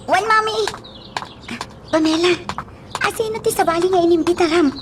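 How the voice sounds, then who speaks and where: An actress with a Filipino accent playing an earnest, easily flustered young graduate in a radio drama. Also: female voice, very high-pitched, normal speed, noisy background. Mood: cheerful. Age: young adult. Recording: loud music bed under the voice.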